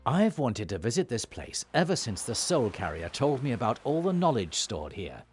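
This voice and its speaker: Man playing an earnest, animated fantasy hero. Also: mid-range voice, normal speed, soft background music, close to an everyday voice. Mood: eager. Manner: direct, questioning. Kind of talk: fantasy game cutscene acting.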